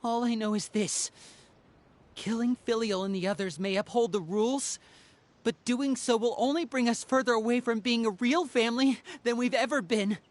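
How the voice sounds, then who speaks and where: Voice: mid-range voice, moderate pace, natural voice. An actor playing an earnest, articulate teenage boy in a video game, imploring in manner. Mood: pleading. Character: earnest teenage boy pleading with his guardian. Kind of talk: dramatic video game acting.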